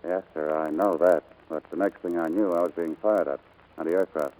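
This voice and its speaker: An actor playing a respectful, clipped Navy flier in a 1940s radio drama. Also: male voice, deep voice, steady tone, moderate pace, mellow, close to an everyday voice. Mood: respectful, tense. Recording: muffled vintage 1940s radio recording.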